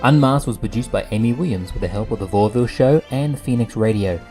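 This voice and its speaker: A man's voice: medium pitch, fast talker, a little mellow. A man announcing production credits in a scripted read. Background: faint music behind the voice.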